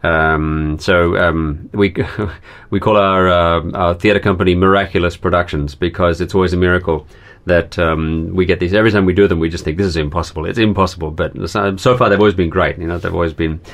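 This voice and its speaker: A man from Australia who leads children's theatre workshops, telling his story in a casual, hesitant way with many pauses and fillers. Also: low-pitched, steady tone. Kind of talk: casual personal account.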